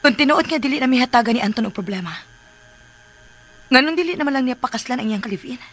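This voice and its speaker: A woman playing a wounded but resolute young mother in a radio drama, with a firm, measured delivery. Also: high voice, lively intonation. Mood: bitter.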